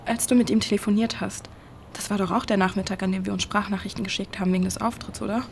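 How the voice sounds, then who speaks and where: A woman's voice: medium pitch, steady tone, fast, creaky, a little hiss or hum, lightly acted. A woman acting a coaxing, casual young woman. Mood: thoughtful. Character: caring, upbeat young woman friend, gently pushy. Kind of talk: naturalistic audio drama dialogue.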